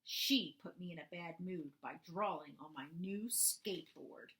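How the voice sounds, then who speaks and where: Woman reading as slow-talking, grumpy young boy cow. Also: on the low side, a bit bright. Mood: indignant. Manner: dramatic, indignant, emphatic. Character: grumpy young boy cow telling his rotten day. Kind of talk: first-person children's storybook narration.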